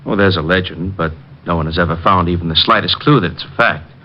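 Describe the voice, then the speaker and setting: An actor playing an assured, dismissive doctor with a measured, authoritative manner in an old radio drama. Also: male voice, low-pitched. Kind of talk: 1940s-50s radio acting. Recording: muffled old radio recording.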